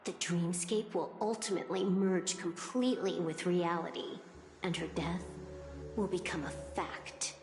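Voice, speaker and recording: A woman playing a cool, commanding, mysterious outlaw strategist in a video game, speaking with composed, deliberate gravity. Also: on the low side, fairly even intonation, lightly acted. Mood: calm, ominous. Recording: echoey reverberant hall, clear music behind.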